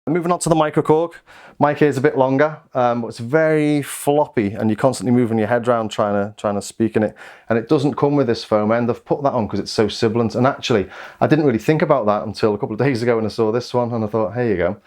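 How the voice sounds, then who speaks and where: A man's voice: medium pitch, fast, darkish tone. A British man giving a casual, rambling product overview as a gear reviewer.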